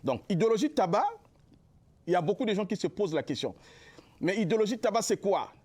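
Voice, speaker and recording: A young man from the Republic of the Congo, a musician guest answering in a TV interview, halting with many drawn-out fillers. His is Lari. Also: sits high, animated, a little mellow, breathy edge. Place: local TV studio.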